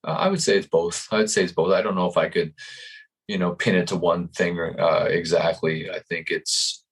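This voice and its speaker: A man in his fifties, a life coach, giving calm and earnest interview answers. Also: low voice, husky. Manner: calm, earnest, speaks slowly in thought.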